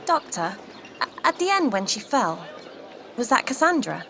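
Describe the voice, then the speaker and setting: Cheeky high-voiced young girl. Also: big pitch swings, moderate pace, crowd noise, background noise. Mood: bright, curious. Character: cheeky, eager young granddaughter. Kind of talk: radio drama acting. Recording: muffled sound, music behind.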